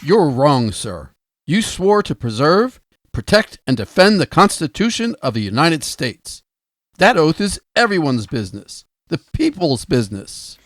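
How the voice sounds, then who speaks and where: A man plays a principled, indignant prosecutor in a radio courtroom drama. He is measured and formal with an expressive pitch, recorded very dry. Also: no echo. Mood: stern. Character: earnest, grave prosecuting attorney, principled and indignant.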